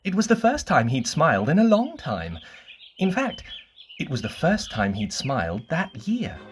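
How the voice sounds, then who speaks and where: A storyteller narrating a children's bedtime story in an expressive, scripted reading style. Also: mid-range voice, normal speed, slight background noise.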